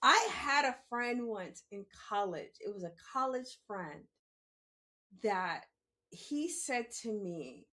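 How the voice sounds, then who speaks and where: A woman's voice: medium pitch, expressive, slow, pushed and bright. A woman, talking candidly and emphatically in a conversational monologue, repeating phrases for emphasis. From New York City, born in the Bronx and raised in Queens. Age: 50s. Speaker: podcast host.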